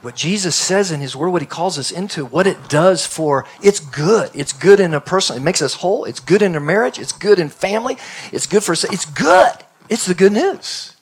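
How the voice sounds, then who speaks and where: A man's voice: on the high side, slightly rough. A man leading a church discussion as pastor, affirming each answer and repeating key words. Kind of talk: interactive sermon.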